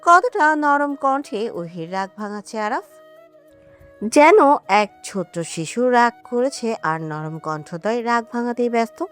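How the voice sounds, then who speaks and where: A woman tells a romantic story in a high, very lively voice. Her scripted narration is emotive and melodramatic, set over music. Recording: music under the voice.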